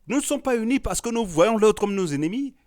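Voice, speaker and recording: A man's voice: sits high, animated, brisk. A man from Cameroon, an artist arguing hard in a panel discussion. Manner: emphatic, rising to forceful declarations.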